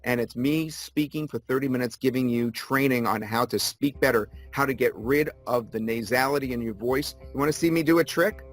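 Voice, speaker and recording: A man being interviewed as a guest, pitching his own product persuasively and repeating key details for emphasis. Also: fairly quick, hardly any pauses, faint music behind, low-quality recording.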